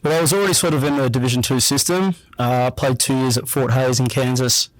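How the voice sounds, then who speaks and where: A man's voice: mid-range voice, fast, no room sound, low-quality recording. Easygoing young Australian man, dry sound. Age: young adult. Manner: relaxed, easygoing, repeats himself with frequent fillers. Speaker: guest athlete. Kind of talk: casual radio interview.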